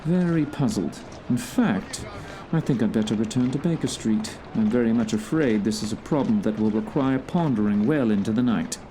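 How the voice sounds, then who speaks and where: A man playing a courteous, thoughtful gentleman detective in a period mystery audio play, questioning politely and with care. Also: medium pitch, expressive, slight background noise, close to an everyday voice. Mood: pondering. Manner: polite, measured, hesitant questioning. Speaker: actor in audio drama.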